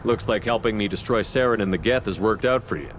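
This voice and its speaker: An actor playing a human military commander in a video game, direct, controlled and level-headed. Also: male voice, on the low side, quick pace, a little hiss or hum, close to an everyday voice. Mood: calm, familiar.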